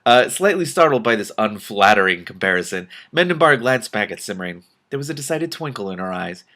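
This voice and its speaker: A man's voice: lowish voice, sing-song, brisk, pushed and bright. A man reading a fantasy novel aloud as host, expressively, with casual off-the-cuff asides.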